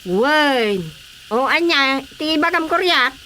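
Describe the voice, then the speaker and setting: Chatty ilocano auntie for a radio comedy, really high voice, unhurried, noisy ambience behind. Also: female voice, expressive, bright, forceful tone, full character voice.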